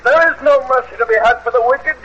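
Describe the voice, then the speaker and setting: An actor playing a cruel, vengeful husband in an old radio horror drama, with theatrical, mocking, cackling delivery. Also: male voice, very high-pitched, a little fast, bright, forceful tone. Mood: gloating, maniacal. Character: cruel gloating husband, mad with jealous vengeance. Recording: muffled, hissy old radio drama recording.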